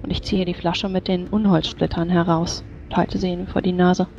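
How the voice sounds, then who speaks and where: A woman's voice: lowish voice, level tone, normal speed, a little mellow, a little hiss or hum. A woman playing a tabletop role-playing game, describing her character's actions and speaking in character. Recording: slightly dull, lo-fi microphone sound.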